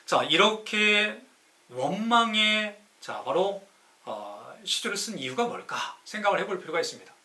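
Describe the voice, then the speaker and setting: A man's voice: sits high, big pitch swings, a little slow, bright, forceful tone. A man hosting a live-streamed lecture in an emphatic, didactic way, asking rhetorical questions and answering them himself, with a sore throat. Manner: emphatic, stretches key words. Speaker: live-stream host, city councilor. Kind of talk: live-streamed lecture explaining classical poetry.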